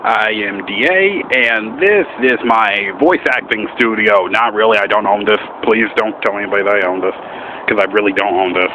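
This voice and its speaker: A man chats casually and candidly as a vlog host, rambling a little as he explains. He is recorded outdoors, and the sound is somewhat dull with clear background noise. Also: fairly low pitch, normal speed. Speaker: amateur voice actor, video host. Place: outdoors in a public park.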